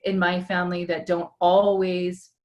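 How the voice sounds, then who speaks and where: Woman from Hawaii, webinar moderator, lowish voice, measured delivery with many pauses, video-call sound. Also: flat delivery, highs cut off.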